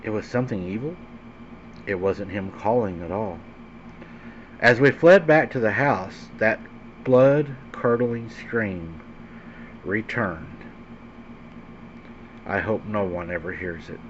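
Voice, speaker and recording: Low-voiced man telling a scary story. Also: slow pace, long pauses. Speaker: host and narrator of a paranormal story show. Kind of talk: dramatic scary-story narration. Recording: dull lo-fi sound with faint background hum.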